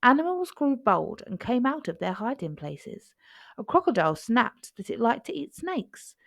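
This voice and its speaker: A woman reads a children's storybook aloud. Her narration is highly expressive, with lively rises and falls, and the sound is close and dry. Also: normal speed, vocal fry, no room sound.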